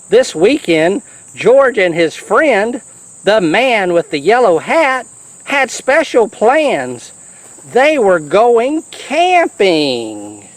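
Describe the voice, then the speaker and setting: A man reading a children's storybook in a playful, animated, folksy way. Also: high voice, dry recording.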